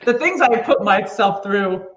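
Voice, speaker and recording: A woman's voice: mid-range voice, expressive, measured pace, echoey room. American woman, slightly muffled lo-fi sound. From United States, grew up in California. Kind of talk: casual chat.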